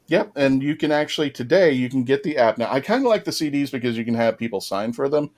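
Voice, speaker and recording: A man's voice: medium pitch, animated, fast talker, a little mellow. A man hosting a fan panel, talking casually to the audience and fellow panelists. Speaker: panel host.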